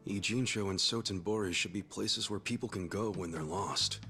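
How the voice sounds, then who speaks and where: A man's voice: deep voice, normal speed, vocal fry, bright, forceful tone, heavily acted. A man playing a loyal, earnest ex-yakuza in a video game cutscene. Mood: earnest resolve. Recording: music behind the voice.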